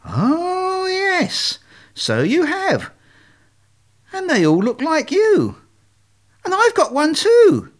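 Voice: very high voice, wildly swooping pitch, drawn-out words, long pauses, pitched above the performer's own voice, exaggerated voice. A performer voicing a naive, dim young swan who believes he is an ugly duckling, self-pitying and childlike in a storybook tale. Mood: naive wonder.

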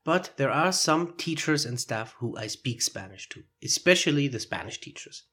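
A man's voice: mid-range voice, expressive, moderate pace, pushed and bright, slightly rough. A man with a foreign accent hosting a solo podcast, explaining his ideas in a reflective way. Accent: foreign accent in English. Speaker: podcast host.

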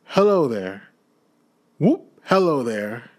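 A man voicing an overjoyed old miser who exclaims in a raised, excited voice, switching between pleading and giddy delight. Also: lowish voice, normal speed, close to an everyday voice.

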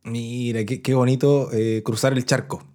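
A man's voice: mid-range voice, flat delivery, moderate pace, a little mellow. A Chilean man hosting a podcast in a chatty, casual way, often restarting his phrases as he introduces listener messages. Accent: Chilean Spanish. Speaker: podcast host.

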